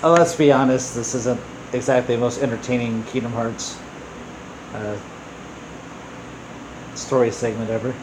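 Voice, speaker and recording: A man's voice: medium pitch, steady tone, moderate pace, long pauses, background noise. A man giving casual, off-the-cuff gameplay commentary as host of a gaming video, offhand and a little apologetic. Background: game music.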